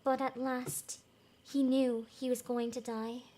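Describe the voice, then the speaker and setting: A woman's voice: high voice, level tone, slow pace, mellow. A woman reading a fairy tale aloud as host, in an emotive, giggly way with casual reactions.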